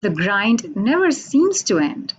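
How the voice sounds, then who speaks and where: A woman from India with an Indian accent, a Hindi native speaker, giving a halting, unhurried poetry reading over a video call from a radio station office. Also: medium pitch, very animated, husky. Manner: unhurried, halting, with many fillers. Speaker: poet.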